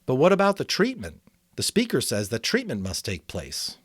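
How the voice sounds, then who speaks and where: A man's voice: medium pitch, huge pitch swings, normal speed, husky, dry recording, clean studio sound. A man voicing an earnest, uneasy twelve-year-old boy with questioning delivery in a novel reading. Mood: worried. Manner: questioning, unsure.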